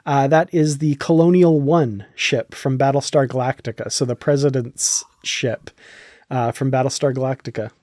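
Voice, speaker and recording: A man's voice: mid-range voice, steady tone, moderate pace, darkish tone, no echo, clean studio sound. A man hosting a podcast in a casual, chatty, enthusiastic way. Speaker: podcast host.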